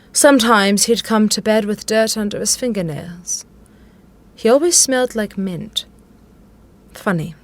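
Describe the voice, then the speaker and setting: A woman playing a middle-aged widowed queen in a modern audio drama, regal and genteel, fussy and pointed. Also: medium pitch. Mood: wistful, grieving.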